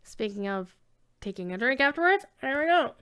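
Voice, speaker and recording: A woman with a high, very clear and smooth voice hosts a casual livestream. She talks to her viewers in a chatty, informal ramble, drifting from one thought to the next with effusive warmth in her wording. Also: big pitch swings, moderate pace. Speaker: livestream host and reader.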